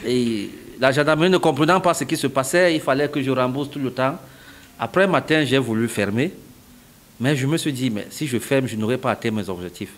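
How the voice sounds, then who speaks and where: A man's voice: medium pitch, fairly quick, a little hiss or hum. A middle-aged man from Cameroon answering interview questions, explaining step by step and repeating phrases for emphasis. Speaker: interviewee, company founder.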